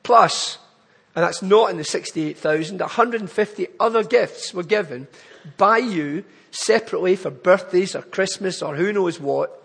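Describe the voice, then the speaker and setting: A man's voice: sits high, moderate pace, vocal fry, fairly bright tone, husky, big-room sound. A man with a Glaswegian accent giving an earnest, pleading charity appeal in church. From Scotland. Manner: earnest, pleading, building to emphatic lists.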